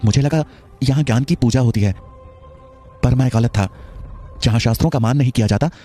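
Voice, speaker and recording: A man's voice: medium pitch, a little fast, dark tone, faint music behind, pitched above the performer's own voice, close to an everyday voice. A man playing a proud, principled scholar and teacher, speaking in an earnest, formal, declamatory way. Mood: proud, respectful.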